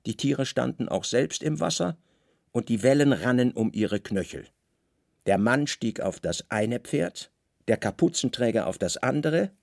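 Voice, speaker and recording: A male radio drama narrator tells a literary story in short, weighted phrases. His pitch moves freely and expressively, with gravelly fry in the voice, recorded close and dry. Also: darkish tone, no room sound.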